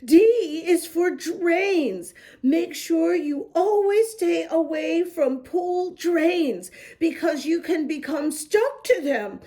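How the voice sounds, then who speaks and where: A woman reading aloud plays a female animal swimming teacher in a children's storybook. The voice is high and slow. She spells out safety rules in an emphatic, instructive way for a young bear. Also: expressive, dark tone, full character voice. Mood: earnest teaching.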